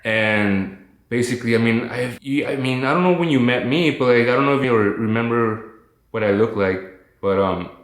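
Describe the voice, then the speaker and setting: A man's voice: medium pitch, normal speed, echoey room. A man chatting casually as a guest on a call, rambling and reflective, with easygoing slang. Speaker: phone guest recounting his illness.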